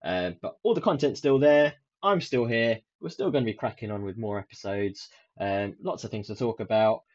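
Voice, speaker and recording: A young man from the UK hosting his own podcast in a soft, soothing voice, rambling with many ums and restarts. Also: lowish voice, lively intonation, normal speed. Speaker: podcast host. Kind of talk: casual solo podcast chat. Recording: dull lo-fi sound.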